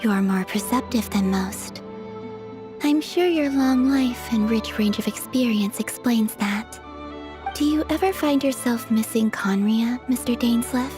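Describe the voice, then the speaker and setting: Gentle, enigmatic young woman voiced by an actress, music behind. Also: a little high, measured pace, natural voice. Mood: gently probing. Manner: gentle, pensive, detached. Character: enigmatic, detached, soft-spoken young woman musing gently. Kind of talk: video game voice acting.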